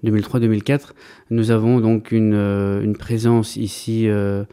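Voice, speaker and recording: A man's voice: lowish voice, flat delivery, moderate pace, mellow, clean tone. A man in his thirties, an Orthodox priest from Greece raised in Belgium, answering interview questions hesitantly with frequent fillers and restarts. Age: 30s.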